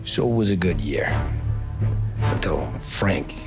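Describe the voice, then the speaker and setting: A man's voice: sits low, lively intonation, slow pace, over music, full character voice. An actor with an American accent playing a weary, wry mobster recounting his past matter-of-factly in a game. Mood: reflective. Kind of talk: cinematic game dialogue, storytelling.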